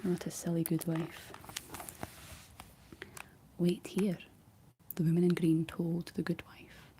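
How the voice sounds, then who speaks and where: A woman's voice: lowish voice, completely flat delivery, normal speed, slight background noise. Whispering woman reading a story. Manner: soft whisper with playful asides.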